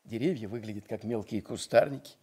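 A man's voice: lowish voice, fairly quick, breathy edge. An actor playing an earnest, wonder-struck ship's doctor and traveller in a radio play, telling his story expressively. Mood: puzzled. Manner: expressive, reflective first-person telling. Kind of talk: Soviet radio play acting. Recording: 1970s radio play, sound effects and music.

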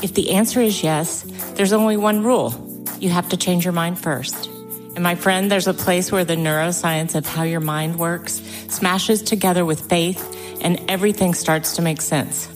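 A woman's voice: on the low side, fairly even intonation, moderate pace. A woman reading an inviting, scripted promo that opens a podcast. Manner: bright, inviting. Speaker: show announcer. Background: music.

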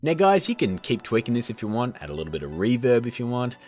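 A man's voice: medium pitch, big pitch swings, fast, a little mellow. A man in his thirties presenting a casual tutorial in an informal, jokey, chatty manner. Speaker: host of an effects tutorial channel.

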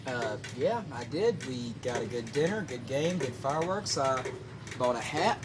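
A young man speaks casually into a handheld camera outdoors at night. He answers in short, easygoing bits at a middling pitch. Clear outdoor noise sits under his voice. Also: animated, moderate pace. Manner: easygoing, brief comments. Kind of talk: casual chat. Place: outdoors beside a ballpark brick wall at night.

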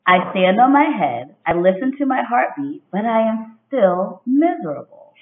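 A woman's voice: medium pitch, measured pace, a little hiss or hum, pitched above the performer's own voice. A moody little girl from a picture book, acted out by a woman tells her story with dramatic emphasis. The intonation swings widely. The sound is roomy and a little dull. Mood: exasperated, dramatic. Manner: expressive, emphatic storybook delivery. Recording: dull, roomy home webcam recording.

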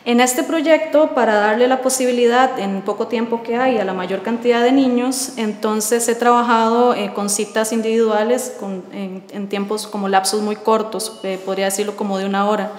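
A woman, answering interview questions thoughtfully with many filler pauses. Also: a little high, steady tone. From Costa Rica. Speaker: artist in residence. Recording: roomy echo with long reverb.

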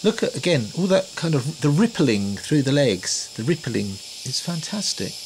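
A chatty, jokey man narrating his own travel footage, his voice gravelly and rising and falling a lot. The camcorder audio is hissy and noisy, taken outdoors in the rainforest and inside a cave. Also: on the high side, sing-song, moderate pace. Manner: chatty, jokey, gushing exclamations. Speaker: travel video diarist. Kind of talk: casual handheld commentary. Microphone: built-in camcorder mic.

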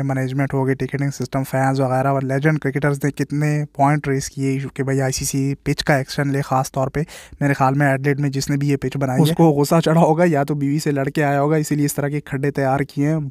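Guy walking around talking cricket, pretty monotone, close dry mic, keeps saying bhai. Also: mid-range voice, no echo. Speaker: cricket vlogger. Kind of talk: casual walking vlog commentary. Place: outdoors, walking along streets and parks.

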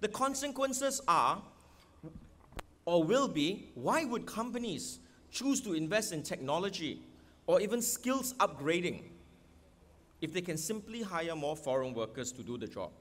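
A man's voice: high-pitched, sing-song, measured pace, fairly bright tone. A middle-aged man with a Singaporean accent gives an emphatic election rally speech at a podium microphone, firing rhetorical questions at the crowd. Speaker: political candidate. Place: outdoor stadium rally stage.

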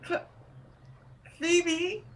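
A woman's voice: extremely high pitch, fairly even intonation, extremely slow, heavily acted. A woman playing a drugged, faltering, frightened captive in a radio mystery play. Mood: dazed, frightened. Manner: groggy, faltering.

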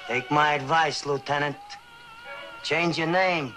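An actor playing an opinionated dentist in a 1970s TV crime drama, blunt and lecturing. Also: male voice, a little high, steady tone, takes it slowly, over music. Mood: grumbling. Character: opinionated, grumbling male dentist at work.

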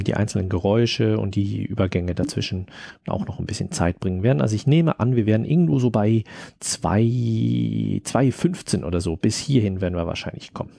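Ein Mann kommentiert locker ein Tutorial und denkt beim Arbeiten zögernd laut mit. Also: male voice, fairly low pitch, vocal fry, dark tone, no echo, clean studio sound. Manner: hesitant, thinking aloud while working. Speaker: tutorial presenter, audio drama editor. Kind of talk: casual screen-recorded tutorial commentary.

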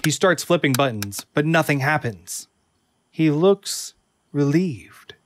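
A man's voice: a little high, expressive, takes it slowly, a little hiss or hum. A man narrating a scripted audio drama, reading screenplay action.

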